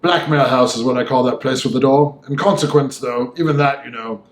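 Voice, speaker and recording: A man voicing an opinionated young gentleman in an audiobook, talking in a formal, emphatic, discursive way. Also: medium pitch, brisk, reverberant, natural voice. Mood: disapproving, confiding. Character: opinionated, talkative young Victorian gentleman, Mister Enfield. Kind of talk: audiobook character voice.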